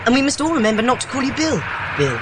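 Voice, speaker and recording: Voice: high voice, big pitch swings, fast talker. An actor playing a practical, dutiful, keen schoolboy in a dramatised children's audiobook. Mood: keen, sensible. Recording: loud car and outdoor noise behind.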